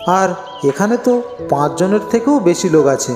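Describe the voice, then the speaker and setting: A male narrator tells a cartoon tale in a slightly high voice. The recording is echoey with a long reverb tail, and soft music runs underneath. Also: animated, normal speed. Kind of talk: scripted storytelling narration for a cartoon. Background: faint music.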